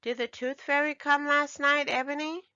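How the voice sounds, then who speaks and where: A woman's voice: sits high, fairly even intonation, normal speed, bright, forceful tone, dry recording, highs cut off, voice pushed up. A woman voicing a questioning big brother, a boy in a children's storybook, in a casual manner. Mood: curious.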